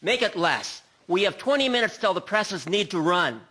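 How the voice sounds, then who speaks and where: A man's voice: sits high, big pitch swings, moderate pace, sharp tone, full character voice. A man playing an impatient, pressing newspaper boss in a radio drama, urgent and commanding. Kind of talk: amateur radio drama acting.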